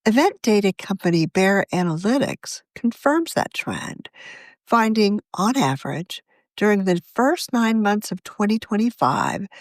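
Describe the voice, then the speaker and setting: Female narrator, lively pitch, measured article read, very dry recording. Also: no room sound. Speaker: magazine editor reading own article.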